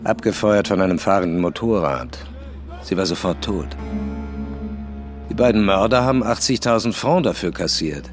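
Deep-voiced, brusque police commissioner. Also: male voice, expressive, normal speed, music in the background. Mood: blunt, insistent. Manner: terse, pointed questioning. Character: brusque, probing male police commissioner leading a murder investigation. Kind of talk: German radio drama acting.

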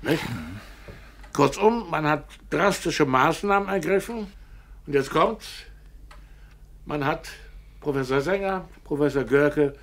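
An actor in a German radio drama playing a self-important project director, declaiming to a meeting with pointed pauses. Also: male voice, fairly high pitch, a bit bright. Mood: indignant, pointed.

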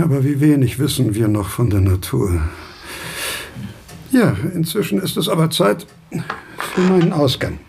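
A precise, pedantic male philosopher in a radio play. His voice is mid-pitched with a gravelly creak, and his diction is formal and measured. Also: a little mellow, heavily acted. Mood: pensive. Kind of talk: German radio play acting.

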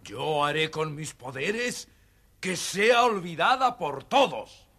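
Slow, swooping, solemn gnome. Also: male voice, on the high side, expressive, vocal fry, over loud music, exaggerated voice. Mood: vengeful. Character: small, stern male fairy-tale gnome casting spells. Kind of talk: scripted children's audio storybook acting.

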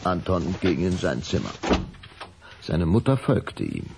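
A man narrating a children's radio play in a scripted storybook style, with a gravelly voice. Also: low-pitched, very animated, unhurried, noisy background.

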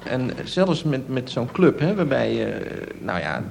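A man in an informal radio discussion, answering questions as an expert. He explains step by step in a hesitant way, often searching for the right word, at a middling pitch. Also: very animated, unhurried, creaky, noisy background.

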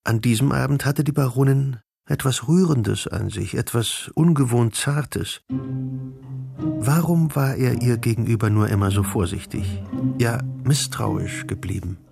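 A literary storyteller in a radio drama, with a medium-pitched, creaky voice. He narrates in a measured, composed way over soft music.

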